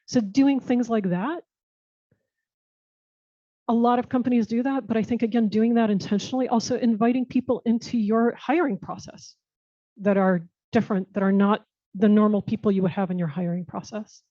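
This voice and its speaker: Mellow American woman, earnest guest. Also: a little high, fairly even intonation, moderate pace, dry recording. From United States. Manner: earnest, reflective, speaks in restarting phrases. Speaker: guest speaker. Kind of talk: conversational answers at a panel Q&A. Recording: muffled dull sound.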